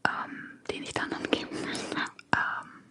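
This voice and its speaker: An ASMR streamer from Germany, talking in a soft, unvoiced whisper with many fillers during a live stream at home. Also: unhurried. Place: apartment at home. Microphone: close microphone.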